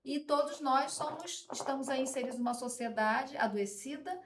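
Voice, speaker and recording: Woman guest, faith-filled parenting advice, emphatic, slightly high voice, echoey room. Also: moderate pace. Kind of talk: conversational advice.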